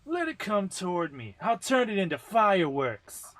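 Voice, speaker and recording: A cool, cocky young woman fighter, put on by a man, speaks in a lifted pitch. She sounds nonchalant and sure of herself, like an action game heroine. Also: sing-song, moderate pace, fairly bright tone. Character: cool, confident, reluctant female bazooka-wielding fighter.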